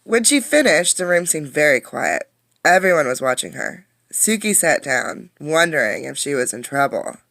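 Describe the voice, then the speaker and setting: A woman reading a picture book aloud as a teacher, expressively, pausing to put questions to young listeners. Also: low voice, gravelly, no echo. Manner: expressive storytelling, addressing young listeners directly. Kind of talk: interactive children's read-aloud with questions.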